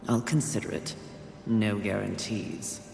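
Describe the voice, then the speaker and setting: A woman's voice: very deep voice, steady tone, unhurried, gravelly, heavily acted. Haughty apocalyptic horsewoman for a fantasy game: defiant, curt, hot-tempered, in a reverberant hall over music. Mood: curt, wary. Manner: terse, forceful, haughty. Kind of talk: video game voice acting. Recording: echoing hall sound with music behind.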